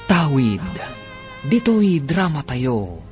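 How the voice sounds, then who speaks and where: A man's voice: fairly high pitch, big pitch swings, very slow, darkish tone. A man with an Ilocano accent from the Philippines, narrating a scripted radio drama in a dramatic style. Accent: Filipino, Ilocano. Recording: music playing behind the voice. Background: background music.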